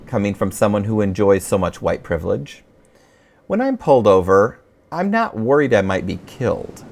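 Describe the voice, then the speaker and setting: A middle-aged man with an American accent, reading his own humorous memoir as an audiobook with wry, expressive storytelling. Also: fairly low pitch, vocal fry, slight background noise.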